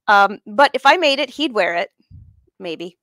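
A woman's voice: medium pitch, animated, measured pace, a bit bright, dry recording, clean studio sound. A middle-aged woman hosting a casual live craft demonstration, chatting informally and putting questions to her viewers. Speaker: host of a live sewing lesson. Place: sewing studio room, indoors.

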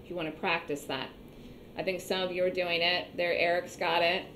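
A woman with a slightly hoarse voice teaching an online trading class, explaining step by step and repeating points conversationally. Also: on the low side, flat delivery, brisk, a bit bright, a little hiss or hum, poor audio. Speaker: trading instructor. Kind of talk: online trading webinar lecture.

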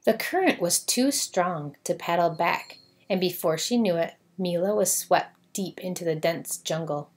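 A woman's voice: on the low side, measured pace. A woman narrating a children's storybook, reading aloud expressively.

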